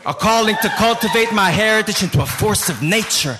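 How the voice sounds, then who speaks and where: A man with a Filipino accent, Tagalog his first language, performing spoken word poetry at a live slam with a forceful, rhythmic delivery that swells into shouts. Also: high voice, lively intonation, a little fast, over music. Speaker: slam poet. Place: live venue before an audience.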